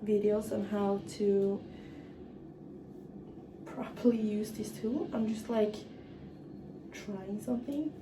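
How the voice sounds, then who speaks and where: A young woman from northern Norway with a Norwegian accent, chatting casually in English while doing her hair, halting and full of fillers. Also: medium pitch, steady tone. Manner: halting, frequent fillers and restarts. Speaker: vlogger. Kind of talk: casual get-ready-with-me chat. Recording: echoey room, mic far off, clear background noise.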